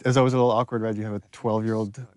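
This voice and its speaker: A man's voice: on the low side, little pitch movement, rapid-fire. A man giving casual, explanatory commentary as a game director, in a conversational way. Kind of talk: casual behind-the-scenes commentary.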